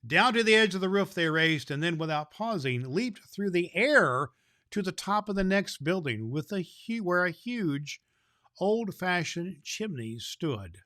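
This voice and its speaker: A man playing a kindly old Santa Claus, hosting a children's Christmas show in a folksy, deliberate storyteller's manner. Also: high-pitched, big pitch swings, sharp tone, dry recording, studio quality, voice pushed up, heavily acted. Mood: gentle storytelling. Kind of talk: scripted announcements and storybook reading for children.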